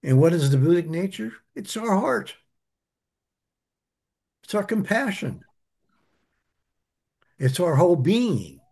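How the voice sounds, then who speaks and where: A man's voice: high-pitched, sing-song, slow pace, vocal fry, long pauses, a little mellow, breathy edge. A man teaching a spiritual study group, earnest and emphatic, repeating key phrases as he answers questions.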